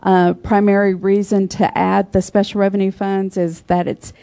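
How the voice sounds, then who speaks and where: A soft-spoken woman walks a public board through a budget presentation, her delivery flat and even. Her tone is mellow, and she fills pauses with ums as she reads through the figures. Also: mid-range voice, creaky. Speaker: financial services manager presenting a budget.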